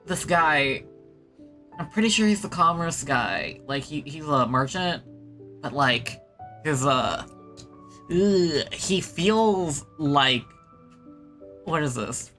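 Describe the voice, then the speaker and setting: Bright-voiced, slow-paced casual game streamer. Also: medium pitch, animated. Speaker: gaming streamer. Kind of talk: casual let's-play commentary. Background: game music.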